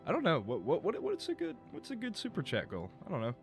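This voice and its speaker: A man streaming a game live, talking casually and rambling, his voice going a bit rough as he loses it. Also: sits high, wildly swooping pitch, quick pace, faint music behind. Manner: rambling, chatty, swears and laughs. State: losing his voice.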